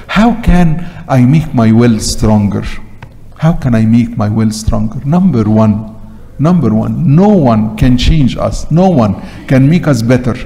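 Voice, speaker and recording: In a long church reverb, an older man preaches with an Egyptian Arabic accent. His voice is dark and mellow at a middle pitch. He leans on short phrases and repeats them for emphasis. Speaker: Coptic Orthodox priest. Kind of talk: sermon. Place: large church interior, at the pulpit. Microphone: gooseneck pulpit microphone.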